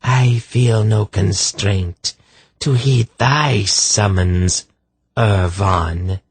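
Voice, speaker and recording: A cold, cruel sorceress, played by a male narrator, rasping with open contempt. The pitch is lifted well up and the archaic phrases are drawn out slowly, in fantasy audiobook style. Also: very animated, dry recording, full character voice. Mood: contemptuous. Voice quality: harsh, rasping. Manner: derisive archaic speech.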